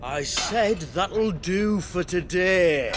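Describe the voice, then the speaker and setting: A man's voice: high-pitched, animated, drawn-out words, soft background music, exaggerated voice. An actor playing a bossy, jovial foreman of a hapless mining crew in a fantasy video game, hearty and commanding. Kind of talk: video game dramatic dialogue. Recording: loud ambient noise over dialogue.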